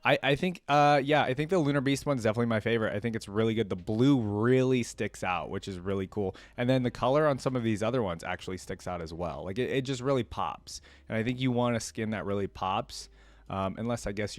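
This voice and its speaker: A man's voice: lowish voice, no room sound. Man casually commentating, close dry sound. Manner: conversational, reacting with interjections as he reads. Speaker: reaction video host. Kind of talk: casual commentary mixed with reading aloud.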